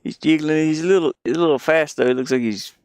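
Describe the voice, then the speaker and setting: A man thinking out loud in casual livestream talk, muttering to himself as he works on a game. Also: on the high side, quick pace, no echo, clean studio sound. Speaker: indie game developer streaming.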